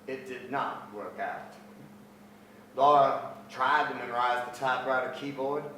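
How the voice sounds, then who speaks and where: A middle-aged actor playing a reflective poet son who narrates family memories on stage, measured and wry. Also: male voice, mid-range voice, sharp tone. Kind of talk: literary narration in staged reading. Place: large theatre stage, music stand, indoors. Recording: very echoey theatre, distant, noisy ambience.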